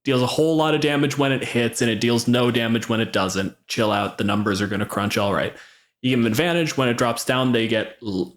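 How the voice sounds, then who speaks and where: A man talks as a game master on a casual podcast, in a middle-pitched voice. He lays out his opinions and rules with emphasis and drops swear words casually as he goes. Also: a bit bright. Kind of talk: casual opinionated tabletop gaming chat.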